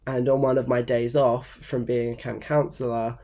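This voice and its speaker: A man's voice: mid-range voice, flat delivery, normal speed, dark tone. Congested young man chatting about books. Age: 20s. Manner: rambling, many fillers and restarts. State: congested, croaky from a cold. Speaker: book vlogger. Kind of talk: casual unscripted talk to camera. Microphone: phone camera.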